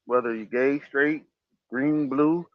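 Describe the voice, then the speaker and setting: A man's voice: medium pitch, takes it slowly, no room sound. A man hosting a casual talk show, giving motivational advice in an emphatic, preachy way and repeating his phrases. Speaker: podcast host. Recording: dull lo-fi sound.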